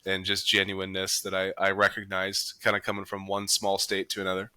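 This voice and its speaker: A man's voice: sits low, completely flat delivery, fairly quick, bright, forceful tone, clear, resonant tone, no echo. A man from South Dakota with an American accent, chatting casually and in a rambling, folksy way as a podcast host. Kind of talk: casual chat.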